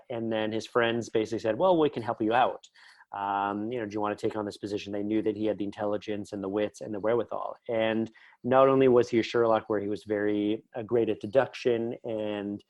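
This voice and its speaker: A man's voice: lowish voice, steady tone, brisk, darkish tone, no echo. A middle-aged Canadian man chatting casually and enthusiastically as an interview guest, going off on tangents. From Northern Ontario, Canada. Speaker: podcast guest, writer.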